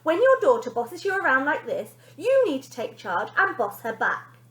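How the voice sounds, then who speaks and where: Snide storybook nanny acted by a woman, high-pitched, sneering, snappy, dramatic, roomy echo. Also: sing-song, moderate pace, fairly bright tone. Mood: instructing.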